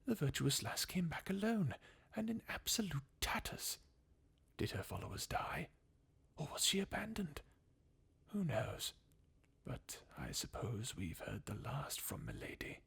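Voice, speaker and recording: Fan actor as crestfallen undead game warrior: slow, swinging intonation, breathy, bleakly mocking. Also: medium pitch, full character voice. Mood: disdainful. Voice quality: breathy, husky half-whisper with creak. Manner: sardonic, weary, chuckling bleakly. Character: jaded, mocking undead warrior, sardonic and defeatist. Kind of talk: fan redub of video game dialogue, ASMR-like.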